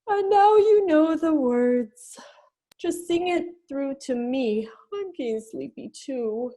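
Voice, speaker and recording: A condescending storybook queen, acted by a woman, high in pitch and mellow in tone. She speaks slowly and primly, as if patronizing everyone around her in a staged reading. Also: animated, exaggerated voice. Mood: drowsy, lulling. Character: bossy, condescending Red Queen growing drowsy. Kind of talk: dramatic reading of a classic children's story.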